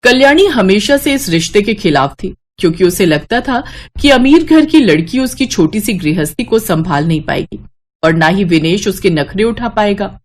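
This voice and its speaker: A woman reads scripted narration for a family moral story. She works as a storyteller, with a voice of average female pitch. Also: lively intonation, moderate pace.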